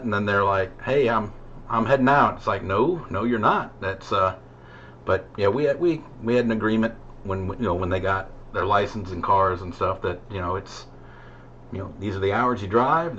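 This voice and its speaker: Low-voiced American man on muffled CB radio. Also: a bit bright. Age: middle-aged. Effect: CB radio transmission. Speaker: amateur CB radio operator. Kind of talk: casual radio chat. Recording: muffled CB radio signal with static noise.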